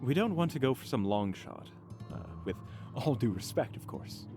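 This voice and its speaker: A man's voice: medium pitch, sing-song, normal speed. Man playing an earnest, formal mage over music. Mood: polite, reserved. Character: earnest, courteous, slightly dim male mage. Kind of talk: fantasy audio drama acting. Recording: music under the voice.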